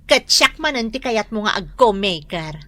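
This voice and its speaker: A woman's voice: medium pitch, animated, moderate pace, fairly bright tone, dry recording, natural voice. A woman playing a firm grown woman who scolds friends over unpaid loans, in a radio drama. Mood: scolding.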